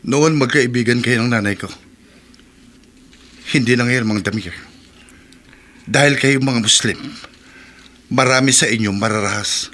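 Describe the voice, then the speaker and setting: An actor with a Filipino accent playing a hostile, resentful son in a radio drama, curt and accusatory. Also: male voice, medium pitch, unhurried, pauses often, bright, forceful tone, a little hiss or hum. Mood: accusing, angry.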